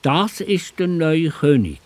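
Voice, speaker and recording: A man acts out an old, pious prophet with a Swiss accent. His voice sits fairly high and moves in big, theatrical swings. The recording is close and dry, made for a children's radio play. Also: very animated, slow pace, dry recording. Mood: reverent. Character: old biblical prophet, pious, solemn, astonished. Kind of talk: children's Bible audio drama acting.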